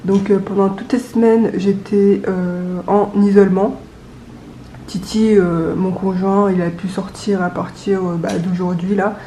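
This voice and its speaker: A woman's voice: medium pitch, level tone, a little slow, mellow, roomy sound, slight background noise, poor audio. Woman talking through a stuffy nose. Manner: chatty, many hesitations. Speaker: budgeting vlogger. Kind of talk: casual chat to camera.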